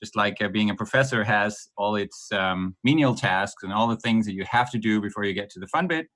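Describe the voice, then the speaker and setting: Middle-aged Danish man, low voice, thoughtful and halting guest on a podcast. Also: a bit bright. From Denmark. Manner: hesitant, many ums and restarts, laughs lightly. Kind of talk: podcast interview.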